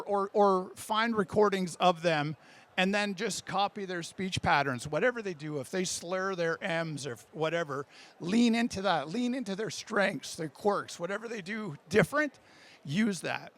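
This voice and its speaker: A man's voice: sits high, expressive, normal speed, fairly bright tone. A man giving an informal conference talk on writing, casual and jokey with a self-deprecating streak. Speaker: speaker giving a workshop talk.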